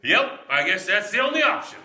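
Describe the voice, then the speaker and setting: A man playing a frantic middle-aged father obsessed with survival in a staged script reading, theatrical and prone to shouting in bursts. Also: sits high, sing-song, brisk, roomy sound, full character voice. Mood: earnest.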